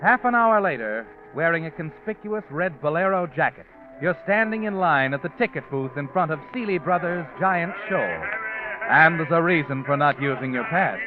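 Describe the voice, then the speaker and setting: An actor playing a sinister, all-knowing narrator of a radio crime mystery, with an insinuating, knowing delivery. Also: male voice, on the high side, animated, normal speed, lightly acted. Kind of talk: 1940s radio suspense narration in second person. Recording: muffled old radio recording with music.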